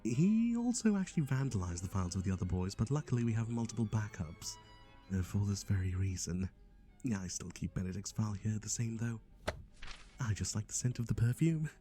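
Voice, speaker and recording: A chatty, teasing matchmaker, acted by a man in an ASMR roleplay. His voice sits on the low side and has a soft, dark warmth. The delivery is theatrical yet conversational, with very lively rises and falls. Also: a little fast, faint music behind, close to an everyday voice. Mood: wry, teasing. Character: chatty, playful male matchmaker for obsessive yandere boyfriends.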